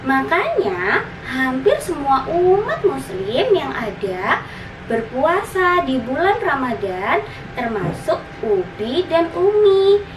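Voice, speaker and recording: Storybook big sister, acted by a woman: young, caring, high voice, patient explainer. Echoey, distant sound. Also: very animated, slow pace, full character voice. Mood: patient, explaining. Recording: roomy, distant, noisy recording.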